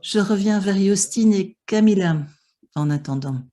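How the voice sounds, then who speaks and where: A woman's voice: mid-range voice, unhurried, mellow. A woman interpreting a conference panel live, with many hesitations and restarts. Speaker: simultaneous interpreter for an online panel.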